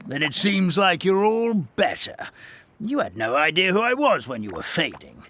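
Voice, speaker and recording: High-pitched, animated grandpa critter. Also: male voice, moderate pace, exaggerated voice. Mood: scolding, teasing. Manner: scolding, chuckling, expressive. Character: elderly origami forest animal, fussy grandfatherly villager. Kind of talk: animated game voice acting. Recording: music under the voice.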